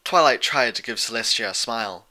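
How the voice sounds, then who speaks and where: Very bright-voiced male storyteller. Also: medium pitch, a little fast. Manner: scripted, literary storytelling. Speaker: narrator. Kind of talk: fan fiction audiobook narration.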